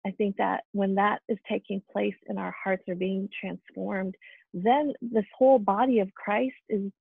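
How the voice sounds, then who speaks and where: Woman panelist, husky and gravelly, reflective and halting, heard through a thin phone-like call. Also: medium pitch, steady tone, no echo. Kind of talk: earnest panel discussion. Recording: tinny phone-like line.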